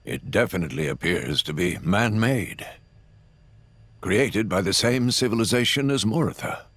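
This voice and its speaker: A man's voice: lowish voice, animated, normal speed, a bit bright, no room sound. A man voicing a devoted, dignified male companion in a video game, with a formal, courteous manner. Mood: pondering. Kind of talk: video game character acting. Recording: music behind the dialogue.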